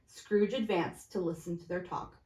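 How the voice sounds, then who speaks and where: A woman's voice: fairly low pitch, steady tone, a little mellow. Woman reading a story aloud, echoey room. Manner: halting reading with stumbles over words. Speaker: storybook reader. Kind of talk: amateur read-aloud of classic fiction. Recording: echoey, roomy sound.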